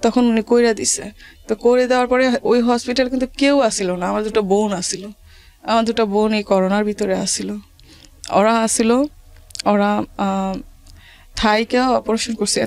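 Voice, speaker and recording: A woman with a colloquial regional Bangladeshi accent, telling her life story plaintively and haltingly, repeating phrases. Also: fairly high pitch, steady tone, darkish tone. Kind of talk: personal testimony in plain colloquial talk. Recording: faint music behind the voice.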